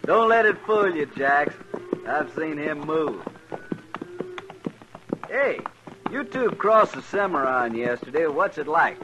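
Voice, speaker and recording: An American actor playing a gruff, plain-spoken trail boss in a 1950s western radio drama, blunt and folksy with dry humour. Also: male voice, a little high, expressive, slow pace, long pauses. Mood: brisk, joking. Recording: noisy, muffled old radio recording.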